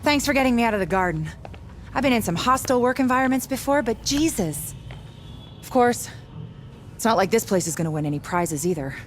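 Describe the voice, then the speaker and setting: A woman's voice: a little high, expressive, a little fast, a little hiss or hum, close to an everyday voice. An actress playing a brusque, sardonic woman doctor and driven virus researcher in a video game cutscene. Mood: wry, exasperated. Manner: sarcastic, blunt, impatient.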